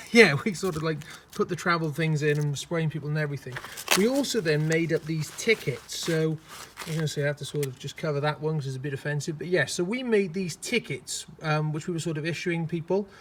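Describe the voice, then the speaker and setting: A hoarse man, chatting casually in a rambling, self-mocking way as he walks through his car for a vlog. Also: on the high side, a little fast, fairly bright tone, slight background noise. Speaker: car vlogger.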